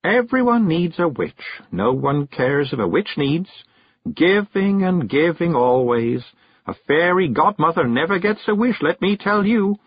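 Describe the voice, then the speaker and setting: Male reader as dazed storybook witch, a fretful woman, high, dreamy and halting. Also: sing-song, slightly rough, no echo, heavily acted. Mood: weary, dreamy. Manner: faint, halting, dreamy murmur. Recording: dull, lo-fi recording.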